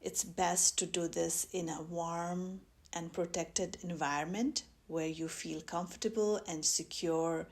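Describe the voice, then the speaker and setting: A woman teaching mindfulness, guiding a meditation in a gentle, measured way with long pauses between phrases. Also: on the low side, steady tone. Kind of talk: guided meditation instruction.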